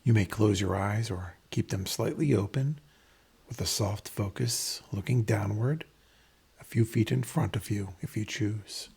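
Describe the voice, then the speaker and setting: A man leading a guided meditation in a measured, instructional manner, pausing between phrases, with a breathy, husky voice. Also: on the low side, a little mellow.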